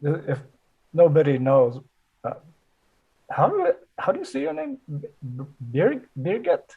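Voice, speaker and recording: An older man, a Zen teacher, leading a relaxed, halting group discussion over a video call. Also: fairly high pitch, creaky, slightly rough. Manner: relaxed, halting, chuckles often. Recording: dull lo-fi call audio.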